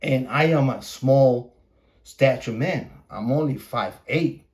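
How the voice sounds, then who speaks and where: A man who is a native Spanish speaker hosting a podcast, delivering an opinionated casual monologue in a blunt, profane, repetitive and halting manner. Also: mid-range voice, a little mellow. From Puerto Rico. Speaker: podcast host.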